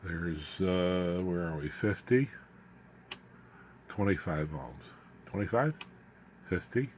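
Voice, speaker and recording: A man with a deep voice talks through a hands-on demonstration of his homemade equipment. His delivery is informal and halting, with muttered asides to himself as he works. There is faint noise and room ambience behind him. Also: darkish tone. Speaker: hobbyist radio builder demonstrating his equipment.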